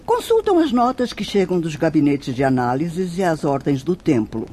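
A woman's voice: deep voice, sing-song, moderate pace, warm tone, slight background noise. A woman playing a wise, compassionate spirit mentor in a radio drama, teaching in a solemn, formal manner. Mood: instructive.

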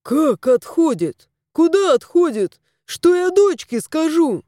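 A woman's voice: mid-range voice, expressive, slow, warm tone, no room sound, clean studio sound. A woman voicing a flustered young man, a cat owner, asking anxious questions. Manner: flustered, pleading.

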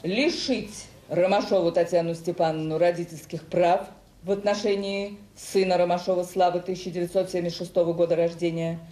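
In a roomy, reverberant space an actress plays a stern woman judge in a radio play. Her voice is lowish and soft-edged, and she delivers an official ruling in measured, formal phrases. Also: steady tone, close to an everyday voice. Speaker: judge reading out a court ruling. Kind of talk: formal read-out verdict in an audio drama. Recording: echoey room, faint background noise.

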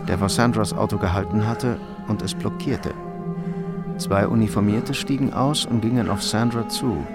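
A sober narrator, played by a man tells a crime story for radio. His voice is low, with music clearly audible behind it. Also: normal speed, lightly acted. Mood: sober, factual.

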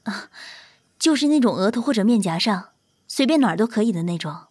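A woman's voice: medium pitch, lively intonation, brisk, a little mellow, husky, dry recording, studio quality. A woman acting an eager, courteous, easily flustered celebrity assistant in an audio drama.

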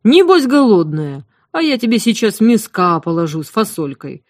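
A woman's voice: medium pitch, very animated, normal speed, dry recording, studio quality. A woman reading an audiobook voices a huge motherly female cook with a drawling, sing-song folksy manner. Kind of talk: audiobook character voice.

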